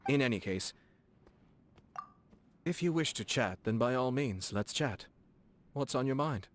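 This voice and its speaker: An actor playing a courteous, composed young nobleman in a video game, speaking formally and softly with a gently teasing manner. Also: medium pitch, a little hiss or hum, close to an everyday voice. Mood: amused, calm. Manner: formal, measured, politely playful. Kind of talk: video game character dialogue. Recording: studio voice over game music. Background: game background music.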